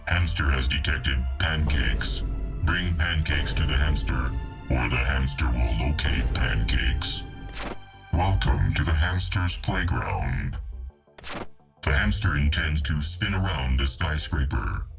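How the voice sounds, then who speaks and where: Very deep, gravelly robot translator. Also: male voice, slow, far from the mic, over music, exaggerated voice. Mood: deadpan, announcing. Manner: deadpan, stilted, literal, third-person announcing. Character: flat robotic translator AI speaking for a hamster pilot. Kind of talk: video game voice lines.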